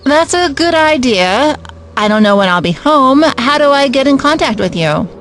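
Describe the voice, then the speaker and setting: A woman's voice: high voice, animated, moderate pace, fairly bright tone. A woman playing a bitter, brusque, uncaring mother with a curt, dismissive manner in an audio drama. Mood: brisk.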